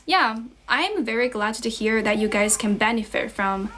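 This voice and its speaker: A young woman with a Chinese accent tinged with American, her voice sitting a little high. She chats to her livestream viewers in a friendly, encouraging way, repeating herself as she teaches English from a relative's house. A faint hum of room noise sits behind her phone mic. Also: lively intonation, normal speed, a bit bright. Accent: Chinese, with American influence. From China. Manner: chatty, encouraging, switches between English and Chinese, repeats phrases. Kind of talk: casual livestream chat to viewers.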